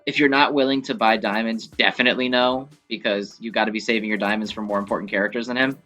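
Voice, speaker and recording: A man's voice: on the low side, fairly even intonation, fast talker, bright, forceful tone. A man giving casual, rambling game commentary as a video creator, talking like an enthusiastic fan with some mild swearing. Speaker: gaming video creator and host. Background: video game music.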